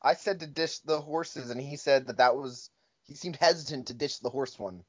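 A man's voice: medium pitch, normal speed. Matter-of-fact man in his thirties. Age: 30s. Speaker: podcast guest, actor and improviser. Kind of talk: casual comedic chat. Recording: dull lo-fi podcast audio.

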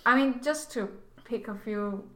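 A woman's voice: fairly high pitch, a little slow, pushed and bright, roomy sound. A woman with a Singaporean English accent, a pastor and seminary student, speaking as a podcast guest and explaining things carefully with examples. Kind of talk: reflective conversational podcast guest.

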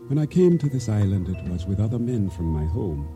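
A man's voice: fairly low pitch, very animated, brisk, warm tone, soft background music. An actor playing a wry, reflective middle-aged island fisherman turned storekeeper in a radio drama. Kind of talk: radio drama acting, first-person narration.

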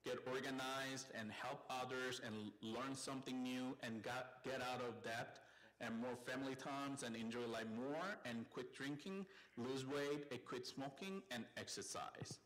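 A man's voice: medium pitch, a little slow, a bit bright, rough recording. Korean-accented male pastor, mid-range voice, conversational sermon delivery. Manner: friendly and conversational, with call-and-response. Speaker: site pastor. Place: church sanctuary.

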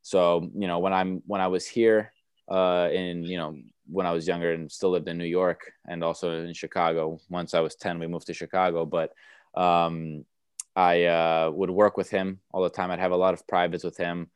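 A young man from the United States whose first language is Romanian, answering interview questions in a relaxed way, with many fillers and restarts. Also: sits low, moderate pace, clean tone, dry recording, studio quality. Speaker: tennis player guest.

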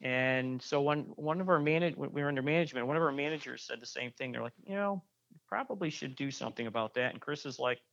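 A man's voice: medium pitch, quick pace, gravelly, fairly bright tone, lo-fi sound. A man talking casually as a guest musician, rambling with frequent restarts and fillers. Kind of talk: casual interview chat.

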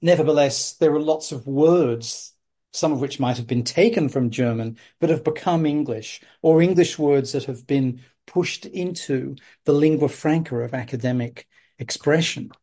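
A man's voice: a little high, normal speed, mellow. A man hosting an academic interview, speaking in an articulate, discursive way. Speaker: interviewer host. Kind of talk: conversational interview.

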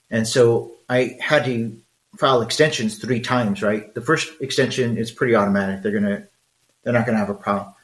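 A man's voice: medium pitch, a bit bright, reverberant. A middle-aged man with a Mexican accent, talking frankly and conversationally in a solo podcast, with rambling asides. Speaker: podcast host.

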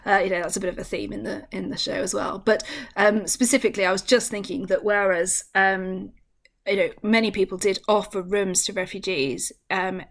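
A woman co-hosting a podcast, speaking in a thoughtful, measured way with frequent hesitations. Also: medium pitch, level tone, creaky. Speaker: podcast co-host. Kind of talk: casual podcast discussion.

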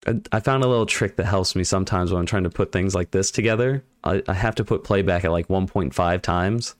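Guy hosting a chill chat, lower voice, upbeat, dry close mic. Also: brisk, dry recording. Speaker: podcast host. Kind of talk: casual interview chat.

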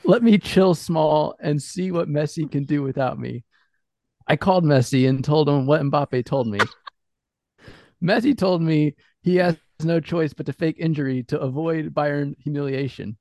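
A man co-hosting a casual podcast, speaking informally and a little haltingly as he chats and reads posts. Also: fairly high pitch, gravelly, a little mellow, no echo. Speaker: podcast co-host. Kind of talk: casual chat, reading out fan posts.

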